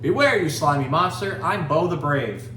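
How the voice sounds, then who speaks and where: A man's voice: fairly high pitch, expressive, moderate pace, pushed and bright, pitched above the performer's own voice. A man reading a picture book as a plucky, brave and kind little girl monster hunter who speaks in bold declarations. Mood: bold, challenging. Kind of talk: picture-book read-aloud. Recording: roomy, distant mic, background noise.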